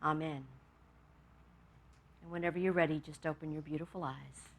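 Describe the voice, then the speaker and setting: A woman minister from Texas, leading a meditation and then giving a gentle, storytelling sermon with amused asides. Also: sits low, fairly even intonation, normal speed, a little hiss or hum.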